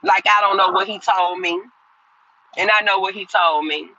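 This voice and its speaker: A woman's voice: medium pitch, fairly even intonation, moderate pace, sharp tone, a little hiss or hum. Lady in her 50s ranting on a livestream, piercing tense voice, dull lo-fi sound. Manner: rambling and repetitive, talking straight to viewers. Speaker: livestream host. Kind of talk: casual live chat, answering viewer comments.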